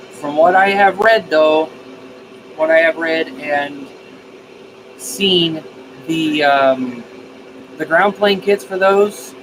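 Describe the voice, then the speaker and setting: A man's voice: a little high, noisy background. A man chatting casually on a live stream, answering viewer comments and repeating phrases as he thinks aloud. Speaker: live streamer, radio hobbyist.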